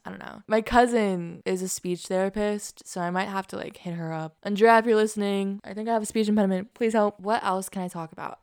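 A woman's voice: medium pitch, clean tone, no room sound, studio quality. A young woman hosting a podcast alone, rambling in casual, self-deprecating chat with a slight lisp. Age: young adult. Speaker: podcast host.

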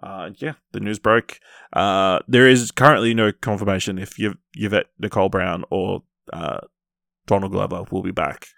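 A man's voice: low voice, steady tone, normal speed, gravelly, fairly bright tone, no room sound, studio quality. A man co-hosting a podcast, chatting casually through film and TV news. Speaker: podcast co-host.

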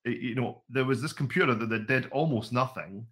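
A man's voice: mid-range voice, a little fast, a bit bright. A Scottish man in his forties, a podcast co-host telling stories with wry, self-deprecating humor. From Scotland. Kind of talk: casual podcast chat. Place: home room full of vintage computers.

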